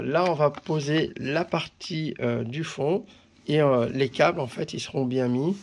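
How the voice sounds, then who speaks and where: A man presenting a product in a casual hands-on demonstration, talking haltingly with pauses as he handles things. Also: a little high, warm tone. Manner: halting, pausing while handling items. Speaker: video presenter reviewing a product.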